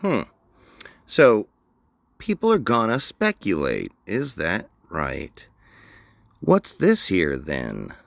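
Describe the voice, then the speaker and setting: A man's voice: fairly low pitch, wildly swooping pitch, slow, vocal fry, pauses often, no room sound. A man hosting a commentary show, mocking the clips he plays with dry sarcasm. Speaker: commentary host.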